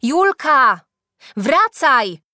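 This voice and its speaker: A woman's voice: a little high, expressive, slow pace, fairly bright tone, no room sound, studio quality, pitched above the performer's own voice, heavily acted. Woman playing a stern, exasperated schoolteacher. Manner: firm, commanding.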